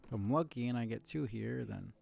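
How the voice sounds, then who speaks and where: Low-key male game streamer, slightly dark voice. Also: brisk, creaky. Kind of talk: casual live gameplay commentary.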